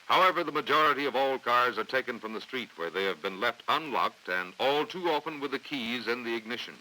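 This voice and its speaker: Forceful, bright-voiced 1950s American narrator man. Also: mid-range voice, animated, a little hiss or hum, poor audio. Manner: formal, authoritative, measured delivery. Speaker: documentary narrator. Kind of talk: scripted 1950s training film narration. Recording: vintage 1950s film soundtrack.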